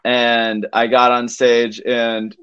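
A man telling a story in casual conversation as a comedian guest, halting and restarting his sentences as he goes. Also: mid-range voice, monotone, a bit bright, smooth, muffled recording. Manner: rambling, halting, self-interrupting.

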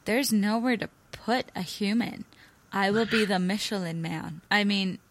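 Raspy woman, playful co-host, middle register, casual. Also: medium pitch, measured pace, no echo. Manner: playful, swears freely, laughs. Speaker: podcast co-host. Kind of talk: casual chat.

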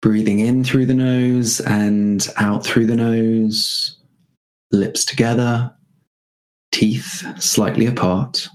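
A man guiding a meditation in a gentle, unhurried manner, leaving spacious pauses between short phrases. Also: mid-range voice, level tone, low-quality recording. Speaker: meditation guide. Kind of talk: guided meditation.

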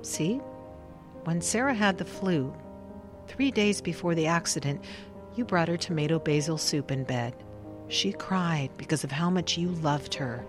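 A woman acting in a radio drama as a composed, insistent researcher who questions in a measured, pressing way. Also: lowish voice. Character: probing, composed, insistent female researcher conducting an interrogation. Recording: music behind.

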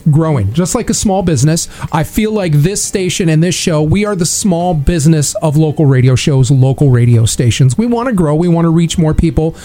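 Upbeat radio host with a mellow voice. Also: male voice, fairly high pitch, lively intonation, brisk, few pauses, soft background music. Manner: eager, repeats and restarts words. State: dry voice, clearing throat. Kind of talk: live radio interview.

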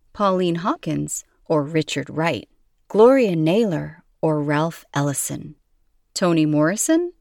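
A woman's voice: fairly low pitch, a little mellow, dry recording, clean studio sound. A woman reading scripted narration as the narrator of a podcast.

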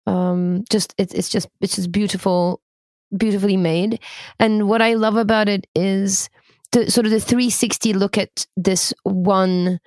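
Host: woman, mid pitch, dry close recording, gentle appreciative tone. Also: fairly even intonation, unhurried, no room sound. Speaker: podcast co-host. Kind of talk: interview chat.

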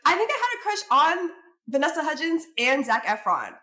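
A young woman co-hosting a casual chat podcast, bubbly and quick to laugh. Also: sits high, sing-song, bright, forceful tone. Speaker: podcast co-host.

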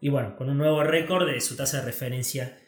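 A man with an Argentine Spanish accent co-hosting a casual finance chat, relaxed, full of fillers and restarts. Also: medium pitch, fast talker, reverberant. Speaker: co-host of a finance show.

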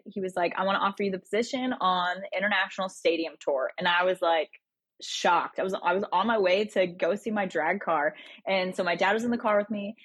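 A woman with a lowish voice tells her story in an earnest, eager way. The sound is dry and close, with no room heard around her. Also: no echo. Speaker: rookie monster truck driver. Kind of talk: casual interview answers.

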